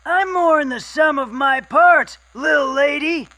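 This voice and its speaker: A man playing a loud, excitable wasteland scavenger and collector of old recordings, who yells everything into the microphone. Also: very high-pitched, a little slow, heavily acted. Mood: excited. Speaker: radio guest.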